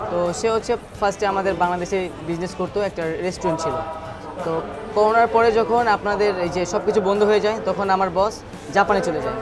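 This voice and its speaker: A man on a business's staff giving an informal, explanatory account in an interview. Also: high-pitched. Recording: crowd and music behind.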